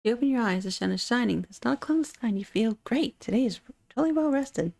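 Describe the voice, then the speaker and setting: A woman's voice: on the high side, expressive, quick pace, gravelly, a little mellow. A woman playing a chatty, sardonic omniscient narrator of a dating sim game, teasing the player directly. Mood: wry, teasing.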